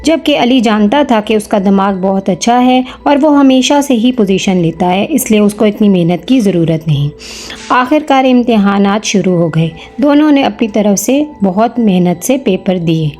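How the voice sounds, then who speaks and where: A woman's voice: mid-range voice, expressive, moderate pace, mellow, faint music behind. A woman narrating a scripted moral story for children.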